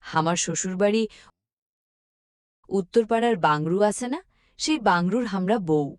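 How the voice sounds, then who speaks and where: A woman's voice: medium pitch, animated, moderate pace, clear, resonant tone, natural voice. A woman voicing a curt, touchy village wife in a cartoon, with a northern Bengali Rangpuri accent. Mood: indignant, defensive. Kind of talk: cartoon dialogue in village dialect.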